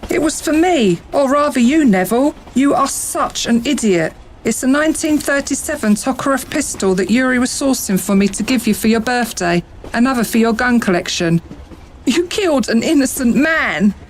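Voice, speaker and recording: A woman's voice: fairly high pitch, animated, moderate pace, lightly acted. A woman playing an indignant, defensive murder suspect in an amateur radio play. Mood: defensive, upset. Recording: noticeable background noise.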